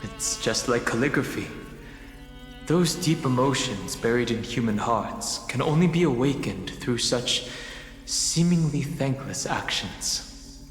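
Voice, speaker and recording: A man playing a reserved, thoughtful young travel companion in a video game, measured, dry and earnest. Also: medium pitch, expressive, echoey room, big-room sound, soft background music, lightly acted. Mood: reflective. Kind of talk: English video game voice acting.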